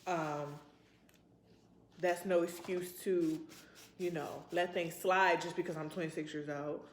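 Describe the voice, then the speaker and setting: A woman's voice: on the low side, steady tone, a little slow, slight background noise. A woman in her twenties chatting casually to her vlog audience in a rambling, reflective, informal way. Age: 20s. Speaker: vlogger. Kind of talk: casual chat to camera. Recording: roomy, echoey sound.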